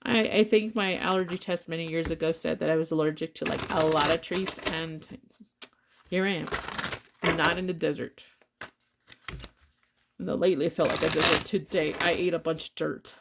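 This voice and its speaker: A woman's voice: deep voice, steady tone, moderate pace, long pauses, heavy background noise. Blunt, chatty woman reading tarot. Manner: blunt, chatty, swears freely, rambling asides. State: congested. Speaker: tarot reader. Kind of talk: casual tarot reading to camera.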